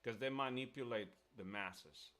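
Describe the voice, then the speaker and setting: A man's voice: medium pitch, normal speed, fairly bright tone. A man chatting casually and giving strong opinions as host of a live stream, emphatic and often repeating himself. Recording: music under the voice. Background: music.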